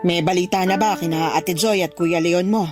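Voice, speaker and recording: A woman's voice: lowish voice, steady tone, normal speed, close to an everyday voice. An actress playing a demanding middle-aged Filipino mother who scolds and guilt-trips in a radio drama. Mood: stern, reproachful. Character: demanding, nagging mother pressuring her grown daughter. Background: background music.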